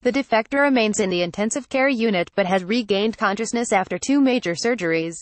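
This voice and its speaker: A woman reading a scripted news report in an even, flat delivery. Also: medium pitch, a little fast, dry recording. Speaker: news reader.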